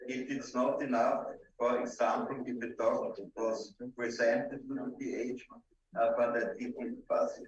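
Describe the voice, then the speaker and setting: A man with an Austrian accent, a native German speaker, presenting a seminar in halting, simple English with deliberate emphasis. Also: mid-range voice, steady tone. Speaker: presenter instructing dog-trial judges. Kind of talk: seminar lecture. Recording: dull, echoey room, distant microphone.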